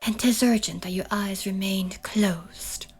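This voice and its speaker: A woman's voice: mid-range voice, a little slow, darkish tone, breathy edge, faint music behind. A woman playing a solemn, protective shamaness in an ASMR fantasy horror roleplay, speaking half in whispers with archaic, commanding deliberation. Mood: solemn, guiding. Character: solemn protective shamaness guiding an afflicted listener.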